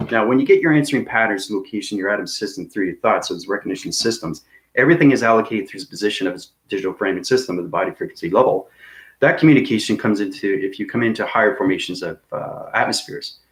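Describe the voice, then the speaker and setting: Rambling low-voiced man talking space. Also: expressive, fast, rough recording. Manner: rambling, repetitive, laughs at himself. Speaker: video host. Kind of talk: rambling cosmic monologue to viewers.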